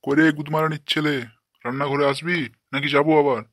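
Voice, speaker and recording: A man voicing a short-tempered, foul-mouthed village father in a comic cartoon, gruff and scolding. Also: fairly high pitch, flat delivery, quick pace, fairly bright tone, no echo, studio quality. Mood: grumbling, mocking.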